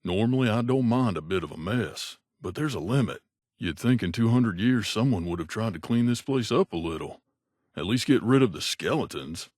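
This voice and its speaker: An actor playing a wry, gruff mercenary companion in a video game, speaking in a conversational, grumbling way. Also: male voice, fairly low pitch, lively intonation, normal speed, darkish tone, no echo, studio quality. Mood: wary grumbling. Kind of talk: game character voice lines.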